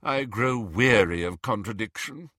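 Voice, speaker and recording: A man's voice: lowish voice, animated, measured pace, a bit bright, dry recording, studio quality. A man voicing a pompous, contemptuous old wizard in a portrait, with a weary, haughty, formal drawl. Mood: weary disdain.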